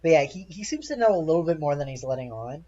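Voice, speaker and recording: A man running a tabletop game as dungeon master, improvising narration haltingly and searching for his words. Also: on the high side, sing-song, warm tone, highs cut off.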